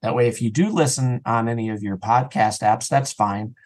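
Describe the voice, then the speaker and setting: A man's voice: mid-range voice, moderate pace, darkish tone. A man chatting casually as an interview guest, telling stories and acting out imagined remarks as he goes. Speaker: podcast guest, audio drama creator.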